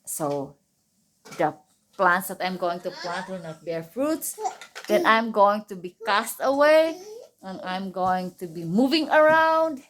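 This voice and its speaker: A woman's voice: medium pitch, animated, slow, a bit bright. A woman playing a sullen, jealous young man who grumbles and evades defiantly in a children's Bible story. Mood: complaining. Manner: grumbling, evasive complaint.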